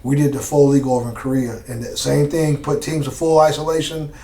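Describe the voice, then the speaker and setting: A man's voice: medium pitch, little pitch movement, fairly quick, gravelly, dark tone, recorded from a distance. A man talks in a loose, easygoing way, full of slang, fillers and asides. He sits a little back from the microphone in a roomy space, and the room's echo colours his voice. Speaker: former Green Beret guest. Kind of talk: casual storytelling interview. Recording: roomy sound from off mic.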